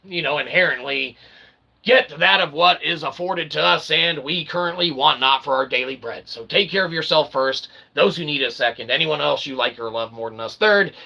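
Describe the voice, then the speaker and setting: A man hosting his own channel in a rambling solo monologue, with raspy, mock-formal, wordy phrasing mixed with slang and frequent check-in tags. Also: on the high side, moderate pace, pushed and bright, rough recording. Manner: repeatedly tags phrases with "okay" and "all right". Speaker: channel host.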